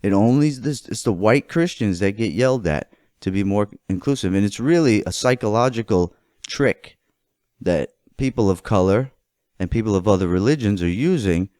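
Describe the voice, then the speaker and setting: A man with a fairly low, clear and smooth voice speaks as a political commentator in an opinionated monologue. He sets out his phrases deliberately and with emphasis. The sound is dry and close, with very little room in it. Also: animated, a little mellow, no echo. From United States.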